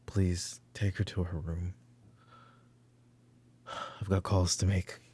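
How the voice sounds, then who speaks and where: A man playing a weary, short-tempered mafia boss father in a roleplay audio drama, with a gravelly, husky voice and a commanding, curt manner. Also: deep voice, flat delivery, slow, slight background noise. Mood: tired, muttering. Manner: commanding, curt, slipping into hushed muttering. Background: background music.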